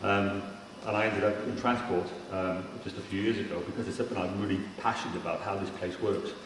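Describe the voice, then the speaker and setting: A man's voice: low-pitched, flat delivery, quick pace. A man from Cardiff in Wales giving an informal but emphatic keynote presentation with slides, restarting sentences here and there. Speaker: keynote speaker on regional transport. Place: large indoor forum venue. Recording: very echoey hall, distant mic, faint noise.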